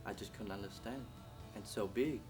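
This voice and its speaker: A man's voice: on the low side, moderate pace, over music. A man whose first language is Vietnamese, speaking hesitantly in English with many fillers in an interview. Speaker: community member. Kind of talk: casual interview.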